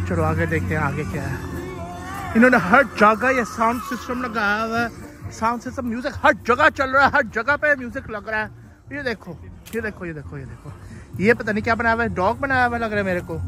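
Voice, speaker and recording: Excited young man filming on a farm. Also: extremely high pitch, fairly quick, soft background music. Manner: excited, chatty, hurrying others along. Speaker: vlogger. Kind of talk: casual walking vlog. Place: outdoor farm. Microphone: handheld phone camera.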